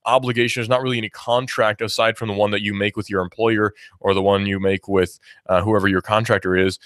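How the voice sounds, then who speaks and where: A man's voice: on the low side, flat delivery, fast talker, fairly bright tone, no echo, clean studio sound. Young man rambling opinions on radio. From United States. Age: 20s. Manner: rambling, opinionated, many restarts and fillers. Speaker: radio show host. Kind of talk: casual talk radio monologue.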